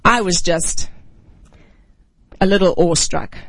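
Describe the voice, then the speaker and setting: A woman ministry speaker, raised outside the United States, gives a reflective devotional talk and reads scripture, often clearing her throat. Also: on the low side, unhurried, fairly bright tone, dry recording.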